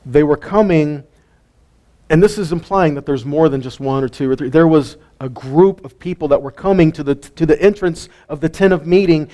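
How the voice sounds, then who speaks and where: A man giving an informal Bible teaching, animated and full of rhetorical questions and light jokes. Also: on the high side. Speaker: teacher of a weekly Torah portion.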